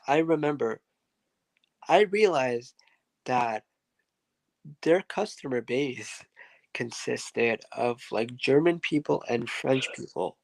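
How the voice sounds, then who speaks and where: A man speaks at an unhurried pace, his voice carrying a rough, gravelly edge. He tells his story as a podcast guest, rambling through fillers and asides. The sound is dry, with no room echo. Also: medium pitch. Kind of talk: casual interview storytelling.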